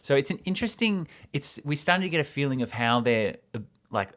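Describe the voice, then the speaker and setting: A man hosting a film show, giving casual commentary and an animated, slangy recap of a story. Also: mid-range voice, fairly quick. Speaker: host of a film report show.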